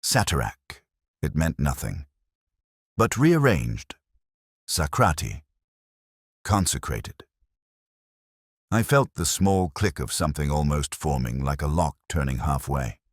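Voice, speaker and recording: A man playing a melancholy police detective, narrating a mystery in a measured, literary, reflective way. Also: extremely low pitch, sing-song, breathy edge, dry recording, clean studio sound, full character voice. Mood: quietly uneasy. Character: brooding, melancholy male police detective narrating his case. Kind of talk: scripted first-person mystery narration.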